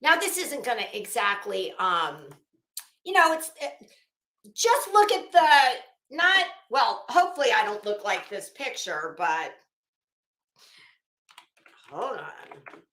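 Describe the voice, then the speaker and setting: High, bright-voiced woman rambling on a livestream. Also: big pitch swings, takes it slowly, pauses often. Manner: rambling, self-interrupting, searching for words; dramatic, emphatic storytelling with self-quoting. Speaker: livestream host. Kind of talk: casual livestream chat. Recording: roomy, echoing room sound.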